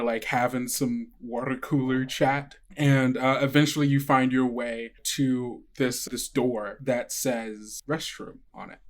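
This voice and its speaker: A game master with a mid-pitched, androgynous voice improvises scene description for a tabletop roleplaying game. The delivery is casual and conversational, feeling its way haltingly through each detail.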